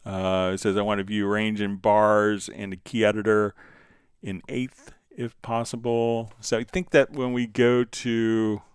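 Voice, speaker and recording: A man hosting a livestream tutorial, answering viewer questions in a casual, halting, rambling way. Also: fairly low pitch, flat delivery, smooth, no room sound, studio quality. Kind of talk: unscripted software tutorial, casual explaining.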